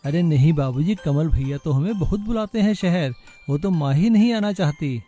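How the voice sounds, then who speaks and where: Casting: man doing a courteous young villager for cartoon tale, earnest, mellow tone over soft music. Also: fairly high pitch, expressive, moderate pace. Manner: respectful, earnest.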